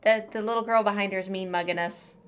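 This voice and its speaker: A woman's voice: mid-range voice, steady tone, fast, clear, resonant tone. A woman doing casual, playful gameplay commentary as a streamer, chatting away to herself. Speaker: game streamer. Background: game music.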